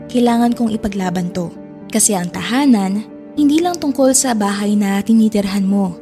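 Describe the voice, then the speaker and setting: A woman's voice: medium pitch, dark tone. A woman giving a solemn, reflective first-person narration for a drama.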